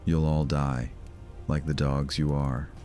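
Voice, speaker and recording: An actor playing a brooding, sardonic vampire lord in a horror audio drama, speaking in a theatrical, deliberate, taunting manner. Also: male voice, a bass voice, level tone, a little mellow, soft background music. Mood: taunting, menacing.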